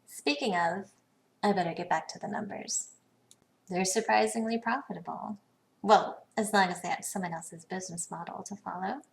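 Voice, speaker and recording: A woman acting a savvy, poised businesswoman in a video game, speaking in a dry, sardonic, measured way. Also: mid-range voice, creaky, close to an everyday voice, poor audio. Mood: dry amusement.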